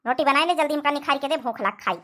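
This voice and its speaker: A woman's voice: sits high, fast, sharp tone. A woman playing a strict, scolding village mother-in-law in a cartoon comedy, with an Awadhi accent and a nagging, domineering manner. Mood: grumbling, bossy.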